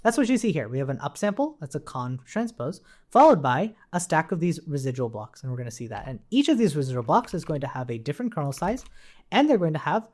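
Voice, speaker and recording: A man's voice: fairly high pitch, very animated, fast, darkish tone. A man working as a coding instructor, casually explaining a tutorial and thinking aloud as he goes.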